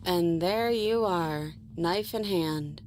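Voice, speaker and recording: A woman playing a sharp, quietly menacing princess who taunts her visitor in a visual novel. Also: lowish voice, slow pace, slight background noise. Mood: cool menace.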